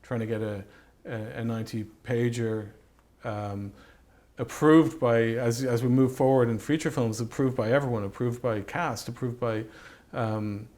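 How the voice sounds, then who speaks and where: A man speaking reflectively in an interview as a writer and director, halting and thoughtful, often repeating phrases as he goes. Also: mid-range voice, steady tone, darkish tone.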